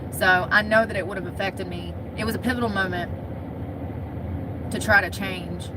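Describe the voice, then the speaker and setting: A woman's voice: mid-range voice, fairly even intonation, moderate pace. A woman from Michigan talking casually to the camera in a chatty, candid, wisecracking way. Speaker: vlogger. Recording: noisy outdoor street sound.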